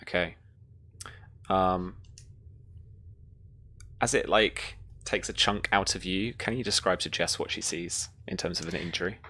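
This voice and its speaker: A woman's voice: extremely low pitch, a little slow, pauses often, bright, forceful tone. A woman speaking casually and playfully as the game master of a tabletop roleplaying game.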